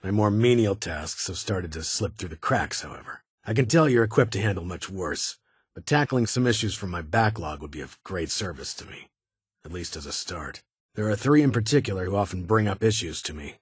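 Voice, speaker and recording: An actor playing a stern, guarded vault security chief in a video game, speaking in formal, clipped, measured phrases with a husky voice. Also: male voice, sits low, lively intonation, no room sound, studio quality, full character voice. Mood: curt, wary. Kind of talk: scripted game character dialogue.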